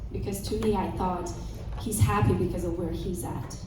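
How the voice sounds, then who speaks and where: A woman from Romania in her late thirties tells her story to a live audience in an animated, laughing way. She sounds far from the mic in a very echoey room. Steady background noise sits under her voice. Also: on the low side, moderate pace. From Romania, Transylvania. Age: 30s. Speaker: keynote speaker. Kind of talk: motivational talk to an audience.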